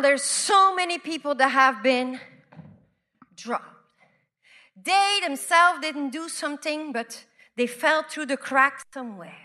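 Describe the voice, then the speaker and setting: Slow, bright-voiced woman preacher from Belgium. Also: high voice. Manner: dramatic swings from loud to hushed whispers; slow, deliberate delivery with repeated phrases. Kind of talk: sermon.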